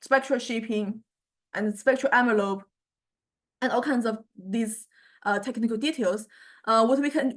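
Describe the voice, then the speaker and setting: Higher-voiced woman lecturer with a Chinese accent. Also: a bit bright. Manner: halting, repeats words, often checks with "okay" and "right". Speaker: university lecturer in music technology. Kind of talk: informal software tutorial lecture. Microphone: small condenser microphone.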